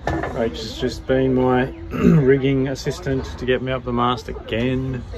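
A man's voice: medium pitch, little pitch movement, measured pace. A man, narrating his sailing vlog in a matter-of-fact, tired and wry way, filmed close up on a handheld camera aboard a boat in a marina. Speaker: sailing vlogger. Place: on a sailboat in a marina, outdoors. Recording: outdoor wind and ambient noise.

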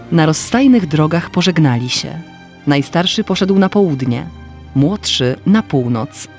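A man narrating a scripted fairy tale as an expressive, literary storyteller. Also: fairly high pitch. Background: faint music.

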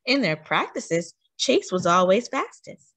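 Female children's storyteller, animated and emphatic read-aloud, very varied intonation, dry sound. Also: mid-range voice, a little slow, no echo.

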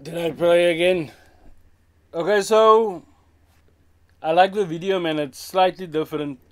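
Blunt Cape Town man, slightly high voice. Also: a little slow. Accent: Cape Town South African. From South Africa. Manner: blunt, frank, mixes in Afrikaans phrases. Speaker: music reaction host. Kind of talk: casual reaction commentary. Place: small indoor room with a brick wall.

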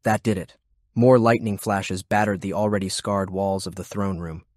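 A man narrates an audiobook from a script. His pitch is fairly low. The recording is dry, with no room sound. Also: fairly even intonation, moderate pace, a little mellow, dry recording.

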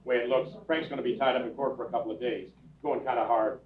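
A man's voice: medium pitch, fairly even intonation, fast, lightly acted. An older man acting a terse, matter-of-fact police detective in a 1950s-style radio drama. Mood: low-key. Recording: tinny, distant, roomy live stage recording.

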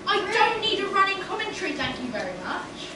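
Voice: very high voice, very animated, brisk. An amateur performer playing a clumsy, lonely young man in a stage pantomime. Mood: sulky, dejected. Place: theatre stage, recorded from the audience. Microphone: far camera. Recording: distant echoey theatre recording, noisy audience.

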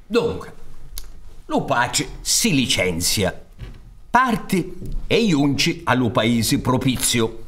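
A man's voice: medium pitch, a bit bright. A man in his sixties with a Sicilian accent, telling a folk tale dramatically on a theatre stage. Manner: dramatic, gesturing, with rhetorical questions. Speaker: storyteller and director. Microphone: studio mic on a stand.

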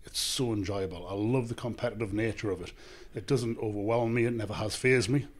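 A man in his thirties with a Northern English accent, a gym owner and author answering podcast questions in a measured, confident way, speaking into a handheld mic in a small office studio. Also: on the low side. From England. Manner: measured, confident, explains in lists. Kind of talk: casual interview answers. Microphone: handheld microphone.

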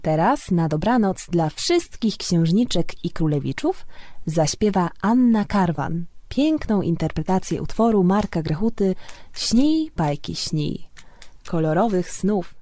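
A woman narrating a scripted fairy tale for children on the radio, expressive, often dropping into breathy near-whispers. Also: fairly low pitch, a little slow, no room sound. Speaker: storyteller on a children's radio show.

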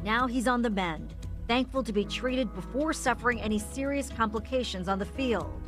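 A middle-aged woman anchoring and reporting a TV news health story in a clear, measured newsreader style. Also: on the high side, music in the background. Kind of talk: scripted news narration. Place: TV studio.

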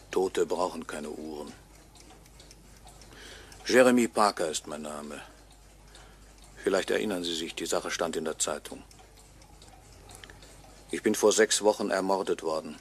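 A dead clock repairer who narrates his own murder in a radio play. His low voice moves slowly, measured and sardonic, with a resigned bitterness, on a faintly noisy fifties recording. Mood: dry, wry. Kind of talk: 1950s radio play monologue.

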